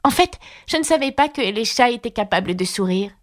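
A woman's voice: a little high, animated, brisk, lightly acted. A woman voicing a polite, curious young girl. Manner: polite, earnest, anxious. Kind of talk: audiobook character voice.

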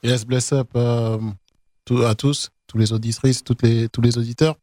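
A man, a musician guest who also interprets, speaking quickly and informally. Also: mid-range voice, little pitch movement, dark tone, dry recording.

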